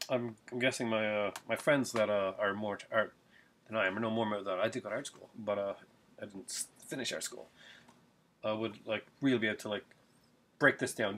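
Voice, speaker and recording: A man's voice: lowish voice, a little hiss or hum. A middle-aged man chatting casually in a home unboxing vlog, rambling with lots of fillers and restarts, recorded on a webcam at his desk. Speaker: collector unboxing a Blu-ray. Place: small home room, indoors.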